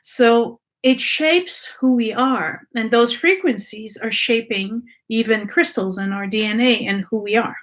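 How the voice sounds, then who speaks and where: A woman's voice: fairly high pitch, a little slow, hardly any pauses, fairly bright tone, poor audio. Woman teaching, hesitant. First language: French. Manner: searching, many ums and restarts. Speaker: energy work teacher. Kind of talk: unscripted teaching talk.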